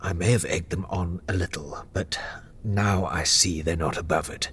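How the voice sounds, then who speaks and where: A tired, blunt negotiator in an audio drama. His voice is very deep, over a faint rumble. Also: fairly even intonation, normal speed, fairly bright tone. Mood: urgent, blunt. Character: weary, blunt male negotiator.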